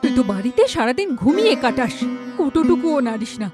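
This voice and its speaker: A woman's voice: high-pitched, very animated, fast talker, over music. A woman playing a stern middle-aged village mother in a cartoon, speaking emphatically. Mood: stern, angry. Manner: emphatic, reproachful.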